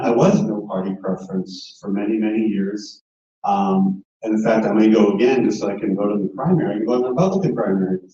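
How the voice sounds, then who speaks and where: A man running for district attorney, answering at a forum in a quick argumentative way with many fillers. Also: on the low side, warm tone, a little hiss or hum. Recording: echoey hall, distant muffled mic.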